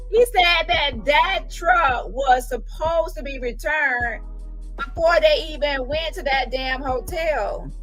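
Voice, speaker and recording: Sassy, bright-voiced woman with high pitch. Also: low-quality recording. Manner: sassy, blunt, emphatic, repeats phrases for effect. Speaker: host of a commentary channel. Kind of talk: casual reaction commentary, gossip talk. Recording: music playing behind the voice.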